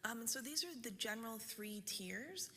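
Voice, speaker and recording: A woman's voice: fairly high pitch, lively intonation, moderate pace, sharp tone. Chatty woman presenting in an echoey hall. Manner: casual, with many fillers and "right?" check-ins. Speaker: utility company presenter. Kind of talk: public forum presentation. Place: large meeting hall. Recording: reverberant hall.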